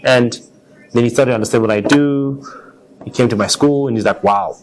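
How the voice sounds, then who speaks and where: A man's voice: medium pitch, normal speed, slight background noise. A man speaking as an interview guest, telling personal stories in an earnest, conversational way with an easy readiness to chuckle. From Zimbabwe. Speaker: interview guest, car designer.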